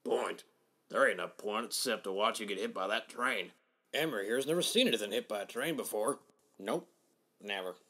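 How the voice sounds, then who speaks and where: A man playing a surly, slow-witted rural farmer thug with a folksy, menacing way of talking. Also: lowish voice, expressive, sharp tone. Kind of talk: animated comic dub acting.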